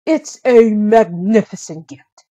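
A woman's voice: medium pitch, takes it slowly, dark tone, no echo. A woman voicing a happy, devoted young prince in a storybook, earnest and emphatic. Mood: grateful. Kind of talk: storybook character reading.

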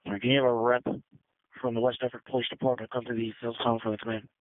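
Man, field commander, mid-pitched, quick radio talk, narrow tinny band. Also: level tone, dry recording. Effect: two-way radio. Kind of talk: two-way radio traffic. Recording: band-limited emergency radio transmission.

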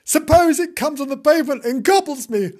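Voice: medium pitch, very animated, a bit bright, slightly rough, full character voice. A storyteller voicing a frightened, boastful little male kitten with dramatic, pleading wails. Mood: panicked. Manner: dramatic wailing, drawn-out pleading cries.

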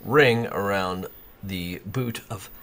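Man, 40s, easygoing game show co-host, light humor, lowish pitch. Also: slow pace. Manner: relaxed, jokey, guiding the table. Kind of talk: casual board game chat. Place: home studio set with brick wall, indoors.

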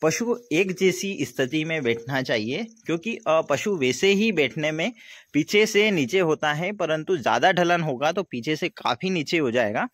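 A man talks in a slightly high voice. He explains things casually as a farmer vlogging on his phone, pausing and repeating himself. The sound is dry, with no room echo. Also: animated, a bit bright. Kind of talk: casual explanatory vlog walkthrough. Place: outdoors in an open-sided farm shed. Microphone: phone at arm's length.

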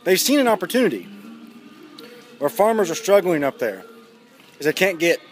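A man's voice: high voice, big pitch swings, moderate pace, faint music behind. A man in his thirties giving blunt, sardonic, casual commentary to camera, recorded outdoors on a handheld device at arm's length. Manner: blunt, emphatic, sardonic, with swearing. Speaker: vlogger giving news commentary. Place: outdoors, walking in a residential neighborhood. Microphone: phone or camera at arm's length.

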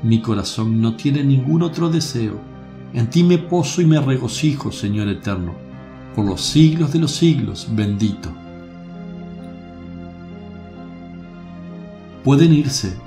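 An actor playing a serene, kindly religious teacher in a radio drama, speaking in a measured, solemn way. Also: male voice, mid-range voice, animated, dark tone, soft background music. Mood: solemn, exalted.

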